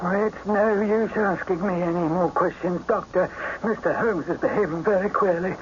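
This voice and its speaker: A man's voice: high-pitched, moderate pace, natural voice. A man playing a fussy provincial police inspector in a radio mystery, flustered and grumbling in protest. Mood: indignant, flustered. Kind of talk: radio mystery drama acting. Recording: muffled, noisy old radio drama broadcast.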